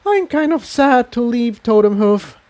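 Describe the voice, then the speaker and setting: A man voicing a gentle, curious female pony with a wistful, inquiring manner in a fantasy story. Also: very high-pitched, expressive, unhurried, no echo, pitched above the performer's own voice.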